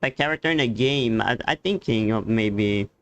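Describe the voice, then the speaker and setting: A man's voice: lowish voice, moderate pace, fairly bright tone, no room sound. A man chatting casually as a game streamer, hesitant and rambling, often repeating words. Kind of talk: casual live-stream commentary and chat.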